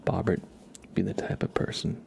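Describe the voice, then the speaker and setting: A man hosting an ASMR livestream, chatting playfully with viewers in a soft, whispery, breathy voice with mock-serious teasing. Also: moderate pace, a little hiss or hum.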